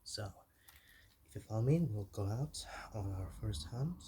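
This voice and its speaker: A man playing a shy, awkward, gentle zombie in an ASMR roleplay, speaking softly and hesitantly and drifting into whispers. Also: fairly low pitch, moderate pace, a little hiss or hum, close to an everyday voice. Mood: coaxing. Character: shy, awkward, soft-hearted young male zombie.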